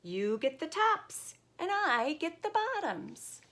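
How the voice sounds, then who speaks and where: A woman voicing a clever, chipper male hare in a children's storybook, eager and persuasive as he pitches to his neighbor. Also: very animated, slow, a bit bright, full character voice.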